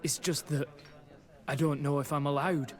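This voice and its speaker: Brief: male actor as green young army recruit, higher voice, flustered, stammering, eager to please, game dialogue. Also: steady tone, moderate pace, lightly acted. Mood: nervous. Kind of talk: video game character acting.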